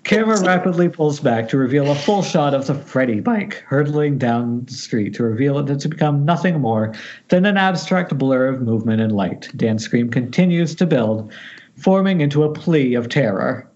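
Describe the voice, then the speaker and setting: A man's voice: medium pitch, lively intonation, normal speed, darkish tone. Muffled man reading horror screenplay directions. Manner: stumbles over words, self-correcting. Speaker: stage-direction reader. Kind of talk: reading a horror screenplay aloud at amateur table read. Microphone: internet voice call. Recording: muffled internet call audio.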